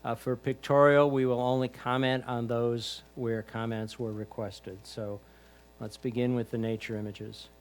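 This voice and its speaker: A man acts as score announcer for a photography competition. He reads out titles, names and points in a middle-pitched voice. The style is a formal announcement. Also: flat delivery, a little slow.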